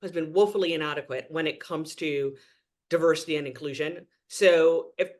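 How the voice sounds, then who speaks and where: A man's voice: on the high side, fairly even intonation, normal speed. A man from Trinidad and Tobago, a guest speaking earnestly and with emphasis in a podcast interview.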